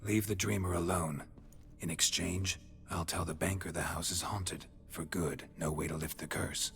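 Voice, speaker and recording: An actor playing a laconic, dry-witted monster hunter in a video game, gravelly and terse. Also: male voice, sits low, normal speed, slight background noise. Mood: calm, firm. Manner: terse, deadpan. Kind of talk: video game character acting.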